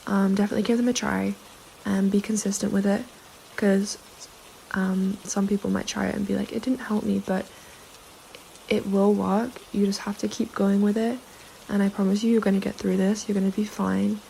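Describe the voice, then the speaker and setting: A woman's voice: medium pitch, level tone, normal speed, creaky, mellow, a little hiss or hum, poor audio. A young woman vlogger, slightly under the weather, giving earnest, reassuring advice to camera with frequent fillers. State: slightly sick. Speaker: self-help vlogger. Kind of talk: casual advice talk to camera.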